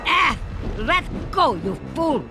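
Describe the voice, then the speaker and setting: Cartoon game sorceress duck, gloating, broken English, slow drawn-out lines, music underneath. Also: female voice, high-pitched, sing-song, heavily acted. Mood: snappish. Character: scheming sorceress duck woman, theatrical and spiteful.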